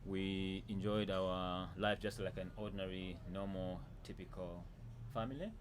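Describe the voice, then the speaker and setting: A man from Zambia, a guest on a podcast, answering questions in a measured, polite way about his upbringing. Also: sits low, fairly even intonation. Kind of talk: interview answers. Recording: audible background noise.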